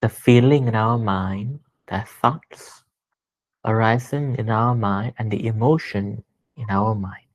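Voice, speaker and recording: Male meditation guide, low-pitched, very unhurried, gentle and deliberate, phrase by phrase. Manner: gentle, deliberate, pausing between short phrases. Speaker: meditation teacher.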